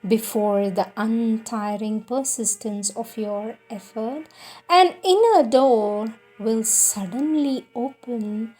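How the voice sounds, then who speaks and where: A woman reads spiritual guidance in a very slow, deliberate delivery. Her voice sits a little high and has a husky, unpolished grain. The reading is solemn and instructive, measured and earnest throughout. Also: warm tone, soft background music, low-quality recording. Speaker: reader of spiritual teachings. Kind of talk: solemn scripted devotional reading.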